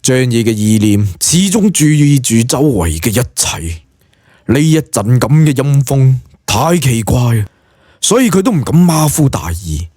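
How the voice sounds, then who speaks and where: A male audiobook narrator with a Cantonese accent and a husky edge to his voice. He tells the story colloquially and dramatically, with lively swings of pitch. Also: unhurried, dry recording, clean studio sound.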